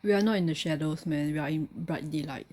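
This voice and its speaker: A woman's voice: sits low, fairly quick, darkish tone. A woman giving casual commentary while playing a game. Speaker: gamer playing through a story quest.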